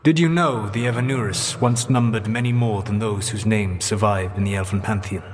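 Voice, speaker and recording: An actor playing a grave, regretful ancient elven god in a video game, speaking in a measured, formal, solemn way. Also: male voice, fairly low pitch, lightly acted. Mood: grim, haunted. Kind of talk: dramatic video game character dialogue. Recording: long echoing reverb on the voice.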